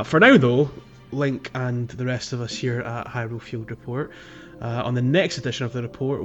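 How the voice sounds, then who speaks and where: A man hosting a casual gaming podcast, recapping a playthrough in halting phrases with pauses to think. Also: medium pitch. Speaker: podcast host.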